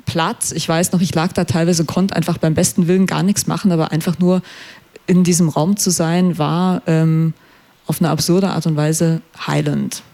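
Radio guest, young man, slightly high and gravelly voice, reflective, halting with fillers. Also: level tone, warm tone. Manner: thoughtful, searching for words, many fillers. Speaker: performing artist guest. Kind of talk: reflective radio interview answers. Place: radio studio.

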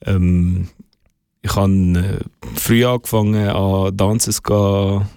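A man with a Zurich Swiss German accent talks as a guest on a radio show. His voice sits low with a gravelly edge, and it sounds dry and close to the mic. He answers in a thoughtful way. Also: flat delivery, takes it slowly, warm tone, no echo. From Zurich, Switzerland. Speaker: guest singer. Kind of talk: radio interview. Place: radio studio.

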